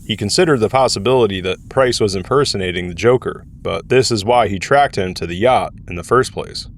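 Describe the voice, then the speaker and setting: A man serves as narrator of an audio drama. His voice sits fairly low as he reads scripted prose, with a faint bed of background noise behind him. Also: brisk.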